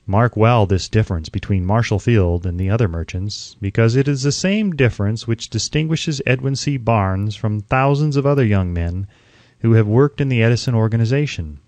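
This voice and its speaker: A man reading a self-help audiobook as narrator, with emphatic, deliberate phrasing. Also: lowish voice, darkish tone, dry recording.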